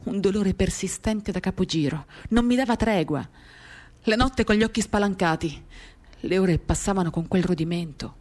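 A woman giving a dramatic reading of a literary first-person monologue, measured and expressive, in short phrases. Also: medium pitch. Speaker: reader of a novel for radio theatre. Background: acoustic guitar.

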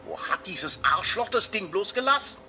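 An actor playing a pushy, excitable, scheming male crook in a German radio crime drama. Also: high-pitched, normal speed, soft background music, heavily acted. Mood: agitated scheming. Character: agitated, scheming male crook, foul-mouthed.

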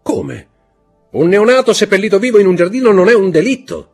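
A man voicing a commanding nobleman count in an audiobook reading, speaking forcefully and dramatically. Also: mid-range voice, sing-song, fairly quick, slightly rough, faint music behind, voice pushed up. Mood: indignant.

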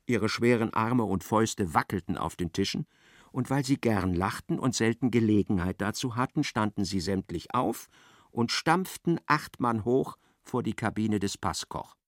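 An actor narrating a darkly comic literary radio play as an observant storyteller. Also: male voice, fairly low pitch, expressive, moderate pace, dry recording, studio quality. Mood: wry narration.

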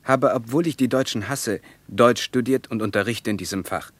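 An actor in a German radio play, playing a guarded, teasing young Russian teacher and reserve lieutenant. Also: male voice, mid-range voice, moderate pace, dry recording, lightly acted. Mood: frank, formal.

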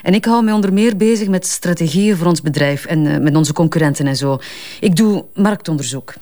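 A woman's voice: on the low side, warm tone, natural voice. Self-assured Flemish businesswoman under questioning, played by an actress. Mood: explaining, confident. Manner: brisk, candid, hesitant in spots, defensive. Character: self-assured female advertising strategist under police questioning. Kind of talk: naturalistic crime drama acting.

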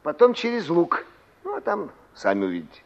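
A man's voice: fairly high pitch, huge pitch swings, fairly quick, gravelly, exaggerated voice. An actor playing a folksy, helpful village man in a radio play, with a resigned, tolerant way of talking. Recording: dull old radio drama recording.

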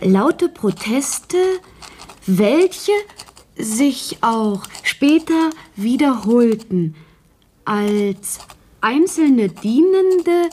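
An actress playing an earnest housemaid in a radio play, composing a written report in a deliberate, word-by-word manner. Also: female voice, high voice, a little mellow, heavily acted.

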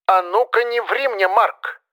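A man's voice: high voice, measured pace, sharp tone, voice pushed up. A man voicing an anxious, nagging elderly mother in an audiobook story, plaintive and lecturing, often sighing. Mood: stern, probing.

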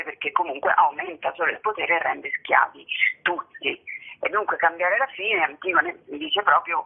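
A woman giving earnest, halting interview answers about artistic work over a tinny telephone line, searching for words. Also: lowish voice, gravelly, slightly rough. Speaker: interview guest, theatre artist and author.

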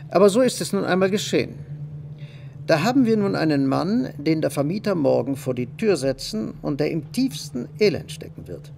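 An actor in a radio play playing a practical, wealthy bourgeois husband, deliberating and insistent. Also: male voice, on the high side, animated, moderate pace, vocal fry, a little mellow, a little hiss or hum. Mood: earnest, deliberating. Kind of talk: German radio play dialogue.

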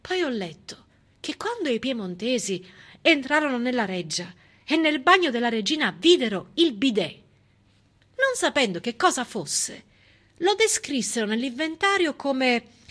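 Animated, high-pitched woman storyteller. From southern Italy. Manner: expressive, emphatic, conversational asides. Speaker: stage actress performing a monologue. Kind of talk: theatrical civic monologue, storytelling.

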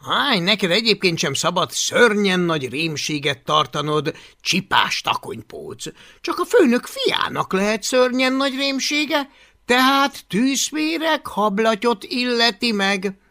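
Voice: mid-range voice, very animated, bright, forceful tone, voice pushed up. A reader voicing a timid, loyal Viking boy who stammers when nervous, in a storybook reading. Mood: defiant.

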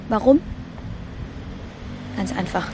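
Woman acting bitter scared ex girlfriend in a radio play, middle pitch, noisy street sound. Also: slow, lightly acted. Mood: hurt, rejecting. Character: wounded, fearful woman rejecting her ex-lover.

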